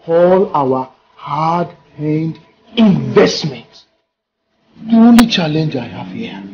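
Scheming dude, slow and pleading, voice jumps around, music behind. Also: male voice, sits high, darkish tone, muffled recording, exaggerated voice. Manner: urgent, pleading. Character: scheming man seeking a ritual charm.

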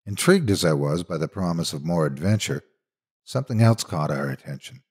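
A man narrating a scripted horror story in a dramatic, measured, suspenseful manner. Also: deep voice, expressive, husky.

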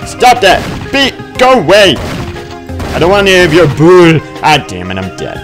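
A young man playing a video game and commenting on it, muttering slowly and then yelping loudly in fights, with faint game music behind. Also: sits high, huge pitch swings. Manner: slow, mumbling asides that jump to loud yelps in fights. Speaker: gaming video host. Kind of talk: let's play commentary. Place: indoor room with webcam.

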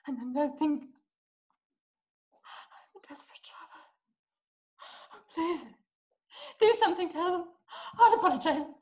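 A woman's voice: high voice, darkish tone, echoey room. A young woman playing a fragile, obedient, distraught housebound wife in a video-call play. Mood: terrified, pleading. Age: young adult. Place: small room indoors. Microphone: video call, webcam.

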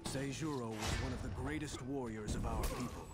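A man's voice: medium pitch, normal speed, natural voice. An actor playing a stoic samurai champion, narrating his war in a terse, matter-of-fact way for a video game. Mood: resolute, dry. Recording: cinematic music behind.

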